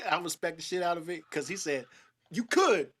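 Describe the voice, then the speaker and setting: A man's voice: fairly high pitch, animated, moderate pace, pushed and bright, husky. Jokey man chatting on a panel. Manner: jokey, laughing. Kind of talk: casual group discussion.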